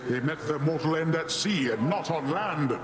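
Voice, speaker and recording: An actor voicing a boisterous male sea god, proud and hearty, speaking in a booming, theatrical way. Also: animated, moderate pace, reverberant, noisy background, natural voice. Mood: boastful.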